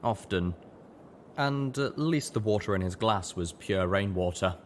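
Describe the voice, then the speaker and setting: A man narrating a first-person story in an expressive audiobook style. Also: lowish voice, unhurried, slight background noise. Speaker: audiobook reader.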